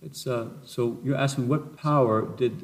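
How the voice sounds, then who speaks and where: A man's voice: mid-range voice, steady tone, moderate pace. A man who is a parish priest, answering interview questions in a thoughtful, rambling way with frequent restarts.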